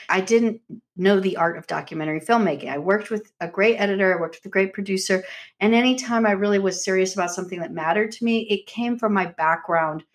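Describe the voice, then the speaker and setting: Woman guest, film director, effusive, animated, self-deprecating, mid-pitched. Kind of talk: casual interview.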